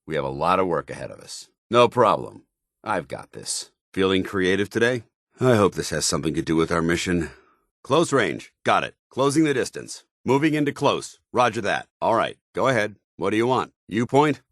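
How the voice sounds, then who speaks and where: Stern soldier companion, video game, deep pitch, animated inflection, clipped military commands, loyal and zealous. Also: male voice, moderate pace, dry recording, studio quality, full character voice. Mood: urgent, commanding.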